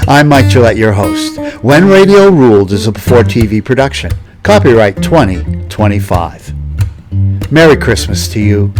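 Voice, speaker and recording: A man hosting a history programme with scripted, measured narration. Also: lowish voice, big pitch swings, over music. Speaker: host.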